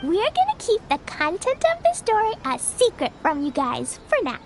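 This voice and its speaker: A woman voicing a cheerful, innocent little girl in an animated video game, talking with childlike enthusiasm. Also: extremely high pitch, moderate pace, noisy background, exaggerated voice. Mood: eager, cheerful. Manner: childlike, enthusiastic, simple wording.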